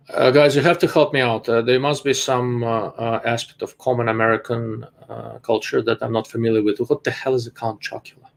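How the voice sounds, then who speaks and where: A man with a gravelly, creaky voice at an ordinary male pitch, hosting a casual live stream. He answers viewer questions bluntly and in his own time, pausing often with "uh" as he thinks aloud. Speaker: livestream host answering viewer chat.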